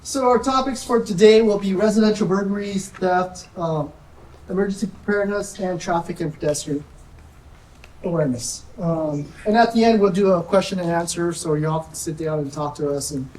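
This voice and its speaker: A woman, a sheriff's deputy, giving a community safety presentation in a soft-spoken, nervous, chatty way. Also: lowish voice, moderate pace. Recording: echoey room, distant mic, faint noise.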